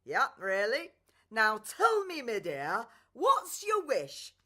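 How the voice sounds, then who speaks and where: A woman's voice: on the high side, wildly swooping pitch, slow, bright, forceful tone, exaggerated voice. A woman voicing a tipsy, muddled fairy godmother with a Northern English accent in a children's radio play. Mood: merry, muddled. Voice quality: slurred, hiccuping delivery. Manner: rambling, folksy, familiar.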